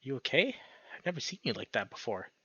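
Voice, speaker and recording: A man's voice: mid-range voice, sing-song, fairly quick, sharp tone, husky, dry recording, full character voice. A man voicing a caring, wry male coyote, a ghostly boyfriend in a visual novel. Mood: gentle, concerned. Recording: dull lo-fi sound, music behind.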